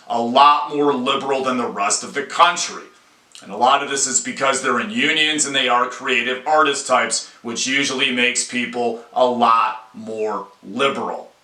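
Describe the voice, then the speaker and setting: An American man giving deliberate, opinionated commentary as the host of an independent video. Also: medium pitch, pushed and bright. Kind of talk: opinion commentary to camera, outlined talk. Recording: echoey room.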